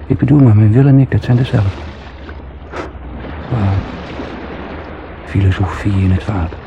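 A man's voice: lowish voice, unhurried. A man playing a brooding, wry husband who talks to himself in a radio drama monologue. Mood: wry self-reassurance. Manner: musing, wry, talking to himself. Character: brooding, self-soothing husband swimming out to sea. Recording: dull noisy old radio recording, water sounds.

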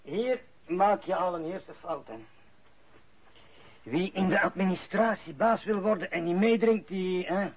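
A man's voice: high voice, measured pace, muffled recording. An actor playing an easygoing older father and veteran clerk in a radio play, folksy and coaxing.

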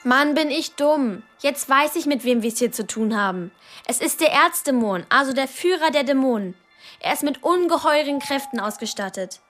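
An actress playing an earnest, loyal friend of the heroine in a radio drama, explaining things seriously. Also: female voice, high-pitched, animated, normal speed, a bit bright, faint music behind. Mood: grave. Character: earnest, loyal female ally of the young heroine.

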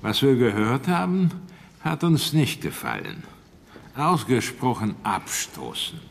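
An actor playing a haughty, melancholy middle-aged Russian art lover in a radio drama, theatrical and disdainful. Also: male voice, medium pitch, huge pitch swings, slow pace, gravelly, a little hiss or hum, full character voice. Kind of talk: German radio crime drama dialogue.

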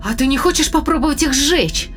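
A woman's voice: high voice, lively intonation, a little fast, a bit bright, soft background music. An actress playing a practical female medical specialist on a spaceship crew, direct and questioning, in an audio drama. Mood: brisk, skeptical.

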